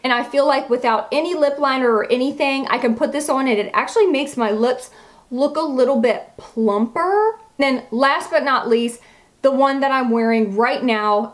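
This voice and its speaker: A woman chatting casually as a beauty vlogger, gushing and emphatic, with a slightly froggy voice. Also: a little high. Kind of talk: casual chatty product review to camera.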